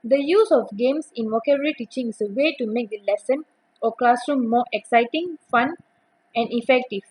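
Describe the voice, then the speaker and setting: A young woman, reading a prepared academic presentation on teaching vocabulary, steady with occasional restarts on words. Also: on the high side, fairly quick, breathy edge, low-quality recording. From Malaysia.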